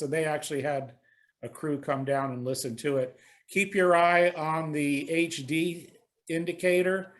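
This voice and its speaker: Halting man giving a technical presentation. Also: fairly high pitch. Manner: halting, hesitant delivery. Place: video conference call.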